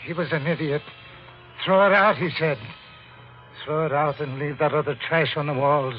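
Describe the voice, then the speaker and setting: A man's voice: on the high side, fairly even intonation, moderate pace, dull audio, faint music behind, lightly acted. A man playing an obsessive, volatile but deferential butler in an old-time radio drama, with intense, brittle delivery. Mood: bitter, desperate.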